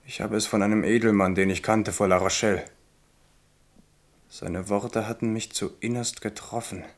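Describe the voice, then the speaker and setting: Radio drama king, male actor, lowish voice, brooding, measured, disillusioned yet resolute. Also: fairly even intonation, close to an everyday voice. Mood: bitter, brooding. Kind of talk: German radio drama acting.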